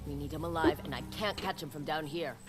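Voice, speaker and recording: A tough, driven bounty hunter in a dramatic video game. Her delivery is terse, hard-edged and stubborn, with loud game music over it. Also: low-pitched, expressive, fast talker. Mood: dry, determined.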